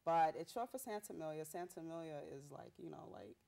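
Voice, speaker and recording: A young woman rapper from Haiti, a Haitian Creole speaker, telling her story in a bubbly, animated way with street slang. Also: deep voice, a little fast, vocal fry. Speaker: rapper guest. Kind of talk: casual interview.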